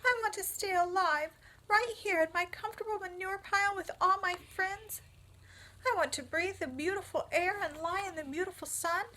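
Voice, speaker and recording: Wilbur, a woman voicing a shrill panicky young pig. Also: big pitch swings, measured pace, hardly any pauses, bright, forceful tone, full character voice, poor audio. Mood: terrified. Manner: high shrill wailing. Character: young male pig, frightened and pleading.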